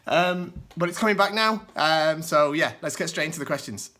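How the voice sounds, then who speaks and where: Man, hoarse from a cold, bright tense timbre, relaxed rambling host, casual vlog Q&A. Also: fairly high pitch, normal speed. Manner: relaxed, explains at length with many asides. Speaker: host answering viewer questions. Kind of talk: casual solo vlog talk.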